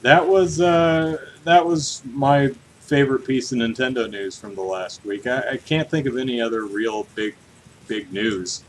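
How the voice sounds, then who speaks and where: A man's voice: medium pitch, measured pace, rough recording. A man with a mid-range voice co-hosts a video game podcast. He talks casually and playfully, with some animation. There is faint noise behind him from a home livestream setup. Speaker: podcast co-host. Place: hot room at home, on a livestream.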